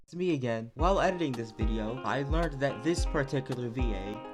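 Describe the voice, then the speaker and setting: A man's voice: mid-range voice, animated, fairly quick. A young adult man giving casual, self-deprecating reaction commentary as a video creator. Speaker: video creator reacting to his own series. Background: acoustic guitar music.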